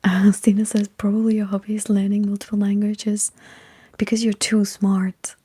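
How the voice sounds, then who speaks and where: Female ASMR streamer, mellow and heavily whispered, breathy, unhurried and halting, chatting to live viewers. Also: mid-range voice, level tone. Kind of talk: whispered ASMR livestream chat.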